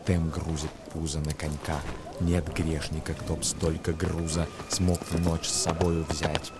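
A man's voice: deep voice, very noisy. A man, an actor, narrating a tale in dramatic verse for a radio play, with a theatrical storytelling manner.

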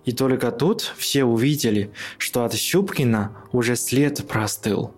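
A man's voice: mid-range voice, unhurried, soft background music. A man who is a native Chinese speaker, reading scripted narration for a radio play.

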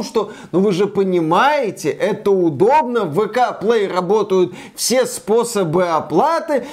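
A man with a notably high voice talks through gaming news as a show host. He makes his points emphatically and rhetorically, slipping in wry asides. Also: a little mellow. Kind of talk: conversational news commentary.

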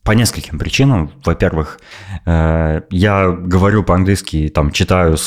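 A young man with a very deep voice talks in a loose, relaxed podcast chat. He rambles, stops to hesitate and often restarts his sentences. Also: moderate pace. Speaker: podcast co-host. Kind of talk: casual chat.

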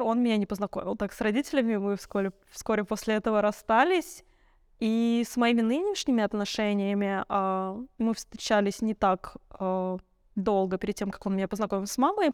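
A woman's voice: mid-range voice, clear, resonant tone, no room sound, studio quality. A young woman telling personal stories in a candid, conversational way, reflective and searching for words as a podcast guest. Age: young adult.

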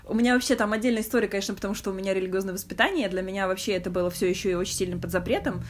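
A woman's voice: medium pitch, steady tone, rapid-fire, faint music behind. A young woman hosting a podcast, speaking in a frank, reflective and casual way, feeling for her words as she goes. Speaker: podcast host. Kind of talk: candid casual conversation.

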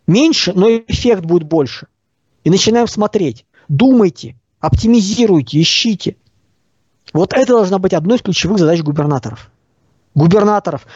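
A man's voice: sits high, warm tone, no room sound, lo-fi sound. A man giving opinionated political commentary, explaining policy in an emphatic, didactic way with short clipped phrases.

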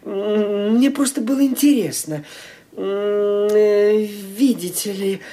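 An actor playing a polite, breezy but evasive nephew in a radio play, speaking lightly and hesitantly. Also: male voice, sits high, slow, warm tone. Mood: flustered, apologetic.

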